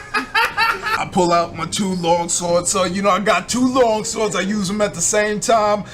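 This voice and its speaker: A man's voice: high-pitched, big pitch swings, a little slow, a bit bright, soft background music, full character voice. A man voicing a cocky, money-loving fighter with swaggering, slangy talk in a tabletop game. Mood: boastful.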